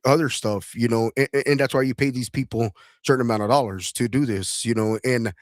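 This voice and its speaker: A man hosting a radio talk show, stumbling and repeating words as he rambles on. His voice sits in a middle pitch and sounds close and dry, with no room around it. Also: steady tone, a little fast, dry recording.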